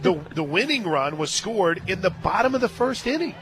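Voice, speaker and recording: Higher-pitched male softball play-by-play announcer. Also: very animated, moderate pace, poor audio. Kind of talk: live softball radio commentary. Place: stadium radio booth. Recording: crowd noise behind. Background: ballpark crowd and music.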